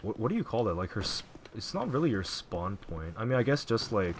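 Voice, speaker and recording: A man with a low voice talks quietly and loosely over his gameplay, drifting through thoughts with plenty of ums. Faint background hiss sits under the recording. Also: a little fast. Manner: relaxed, rambling, frequent fillers. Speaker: let's play gamer. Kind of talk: casual gameplay commentary.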